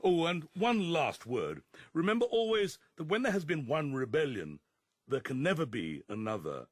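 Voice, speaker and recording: An actor playing a stern, menacing pig who rules a farm as dictator, gruff and commanding, in a radio drama. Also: male voice, a little high, sing-song, normal speed, dry recording, clean studio sound. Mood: coldly commanding.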